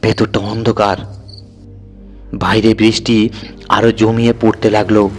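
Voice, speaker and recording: A man narrating a horror story in the first person with an expressive, dramatic storytelling delivery. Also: lowish voice, a little slow. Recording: music bed under the voice. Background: music.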